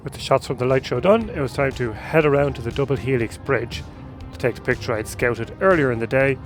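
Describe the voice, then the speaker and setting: An Irish man talks through his travel diary in a relaxed, dry tone, poking fun at himself and letting the odd curse slip. His voice sits at an ordinary middle pitch. Music plays clearly underneath him. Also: a little fast. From Ireland. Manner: wry and self-deprecating, with the odd swear. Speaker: vlogger and film photographer. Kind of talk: casual travel vlog narration.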